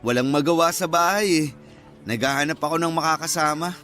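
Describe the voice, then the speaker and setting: A man's voice: fairly high pitch, fairly quick, bright, forceful tone, soft background music. A man playing a modest, good-natured young man in a Filipino radio drama, friendly and lightly teasing. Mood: upbeat, teasing.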